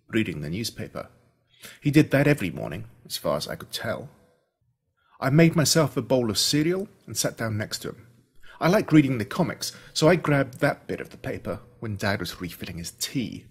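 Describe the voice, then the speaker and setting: An Australian man reading a scripted first-person story in an expressive, dramatic storytelling manner. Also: mid-range voice, slightly rough. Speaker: audiobook narrator.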